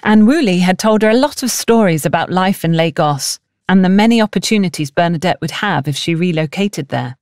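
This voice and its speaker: A woman's voice: fairly low pitch, lively intonation, a little fast, hardly any pauses, a little mellow, no echo, studio quality. A woman reading a novel aloud as scripted audiobook narration.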